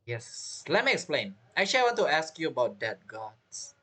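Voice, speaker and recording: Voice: medium pitch, sing-song, moderate pace, a bit bright. A game streamer giving chatty, reactive commentary over a playthrough. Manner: chatty, reactive, often laughing. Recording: game music behind the voice.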